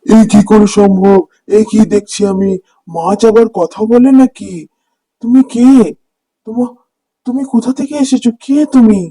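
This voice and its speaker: A man's voice: high-pitched, steady tone, unhurried, long pauses, mellow. A man voicing a poor, kind-hearted village fisherman and father in a cartoon, with a plaintive manner. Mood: amazed. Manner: plaintive, lamenting. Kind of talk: cartoon dubbing. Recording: music under the voice.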